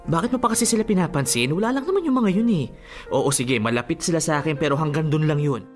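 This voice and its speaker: A man's voice: a little high, animated, fast, soft background music, natural voice. An actor playing a sweet-talking young boyfriend in a radio drama, coaxing and pleading his case affectionately. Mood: defensive, pleading.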